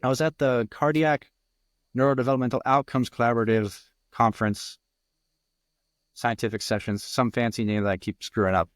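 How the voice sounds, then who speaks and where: A man's voice: mid-range voice, steady tone, normal speed, no echo. Enthusiastic male podcast host, dry sound. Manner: enthusiastic and conversational. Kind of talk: casual interview chat.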